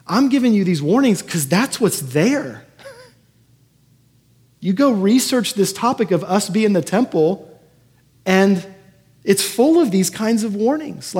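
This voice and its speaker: A man's voice: high voice, darkish tone, husky. A man preaching as a pastor in a conversational sermon, earnest and given to emphatic repetition. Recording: echoey hall with long reverb.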